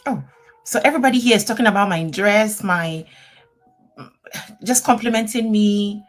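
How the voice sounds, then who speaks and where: A middle-aged woman attorney, answering viewers' questions on a live stream in a chatty, animated way. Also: medium pitch, normal speed, faint music behind. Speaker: immigration attorney.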